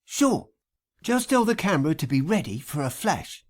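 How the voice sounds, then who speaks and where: A man's voice: on the high side, big pitch swings, moderate pace. A man voicing a teasing, bossy, flirtatious woman with a purring, coquettish delivery in an audiobook. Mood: confident, flirty. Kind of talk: acted audiobook dialogue.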